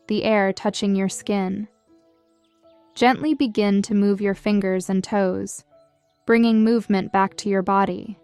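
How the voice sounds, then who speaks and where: Female meditation guide, smooth gentle delivery, measured scripted read, dry sound with music underneath. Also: mid-range voice, no echo. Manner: soothing, measured, gentle instruction. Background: background music.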